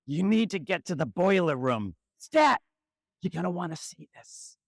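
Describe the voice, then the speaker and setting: A woman playing an earnest lunch lady sidekick in a live comic skit, with a creaky, emphatic delivery. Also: fairly low pitch, very animated, normal speed, dry recording, studio quality, full character voice. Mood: urgent.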